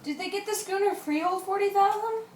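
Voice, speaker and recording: A woman playing an excitable, curious young boy in a radio play. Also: very high-pitched, brisk, slight background noise. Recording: roomy, distant microphone.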